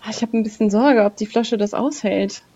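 A woman's voice: medium pitch, brisk, mellow. Chatty woman player, slightly dull recording. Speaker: guest player in role-playing game. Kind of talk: casual improvised role-play chat.

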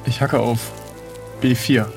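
Creaky-voiced man playing an adventure game. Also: medium pitch, steady tone, slow pace, loud music behind the voice. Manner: hesitant, thinking aloud. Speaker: player in an improvised adventure game. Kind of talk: casual improvised role-play, announcing moves.

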